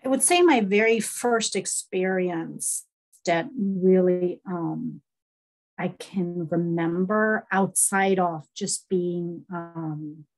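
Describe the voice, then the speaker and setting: Middle-aged woman, interview guest, headset video call, warm rambling storytelling. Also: fairly low pitch, takes it slowly, low-quality recording. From East Germany. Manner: warm storytelling, frequent laughs and fillers. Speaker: guest, horse body-work therapist.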